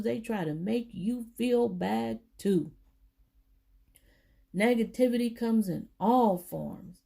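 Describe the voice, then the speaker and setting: A woman reading a children's book aloud, with deliberate, emphatic phrasing for young listeners. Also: medium pitch, creaky, dark tone. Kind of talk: storybook read-aloud for children.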